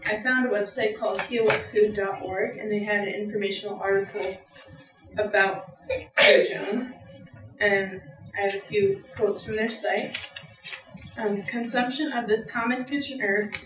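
A woman's voice: mid-range voice, steady tone, unhurried. A young woman giving a class presentation, reading earnestly from her notes with a few fillers. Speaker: student presenter. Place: lecture room with audience. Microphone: far camera. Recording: echoey room from far off.

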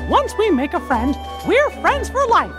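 A cheerful cartoon mouse king with a falsetto squeak. He sounds earnest and upbeat, swinging widely in pitch at an unhurried pace. Soft game music plays behind. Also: big pitch swings. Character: cheerful high-voiced cartoon mouse king, adult male.

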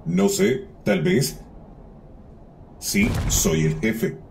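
A man's voice: high voice, huge pitch swings, very slow, creaky, noisy background, pitched above the performer's own voice, heavily acted. A man voicing a proud, quarrelsome old sun spirit in a children's cartoon, boastful and indignant. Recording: music behind the voice.

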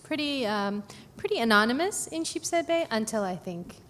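A woman from Brooklyn recounting her life in an oral history interview, hesitant with many pauses and fillers. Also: a little high, expressive, a bit bright. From Brooklyn, New York. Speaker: oral history narrator on a panel. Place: conference hall. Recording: echoey hall, faint background noise.

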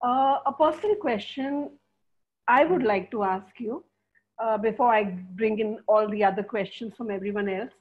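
A woman moderating a webinar through a headset, polite and halting as she thanks her guest and asks a question. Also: fairly high pitch, breathy edge. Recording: tinny phone-like video call.

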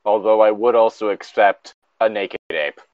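A man's voice: on the low side, normal speed, darkish tone, no room sound, muffled recording. A man casually reading a story aloud and breaking off with exasperated, incredulous, sweary commentary.

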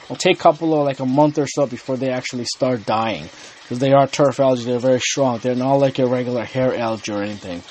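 A man's voice: medium pitch, quick pace, darkish tone, slight background noise. A man hosting a hobby channel, talking casually and unhurriedly, with frequent ums and restarts as he explains his tank. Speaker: aquarium hobby channel host. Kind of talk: casual explanatory talk to camera. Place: indoor home room with the aquarium.

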